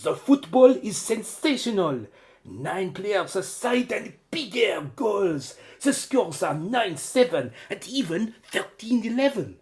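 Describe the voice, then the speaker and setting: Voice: medium pitch, big pitch swings, slow, darkish tone. An actor playing an eager, helpful foreign former student stranded as an exile in a radio play, explaining earnestly. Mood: enthusiastic.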